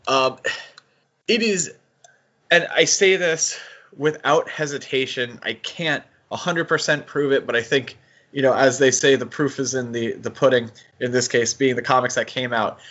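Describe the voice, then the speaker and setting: A man hosting a podcast in a casual discussion style, talking in a halting, conversational way. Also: medium pitch, fairly bright tone, muffled recording. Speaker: podcast host.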